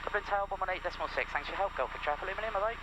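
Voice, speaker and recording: A man's voice: sits high, rapid-fire, noisy background. A man speaking as a private pilot in clipped, procedural phrases over a headset in a small plane. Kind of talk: radio calls and cockpit chat. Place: small aircraft cockpit in flight. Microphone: aviation headset.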